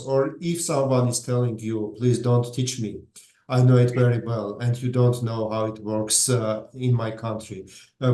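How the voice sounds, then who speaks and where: A man's voice: medium pitch, dark tone. A man, interviewing in English, asking long questions with many "uh" fillers. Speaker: interviewer, media director. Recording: roomy echo.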